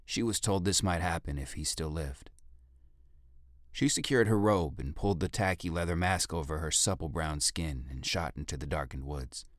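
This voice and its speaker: A man's voice: a bass voice, normal speed, no room sound, studio quality. A man narrating fiction in a dramatic scripted audiobook style.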